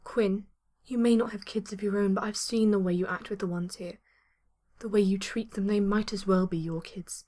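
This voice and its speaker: A woman playing a caring, soft-spoken young survivor in an amateur audio drama. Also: mid-range voice, fairly even intonation, normal speed, lightly acted. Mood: caring, earnest. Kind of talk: fan audio drama acting.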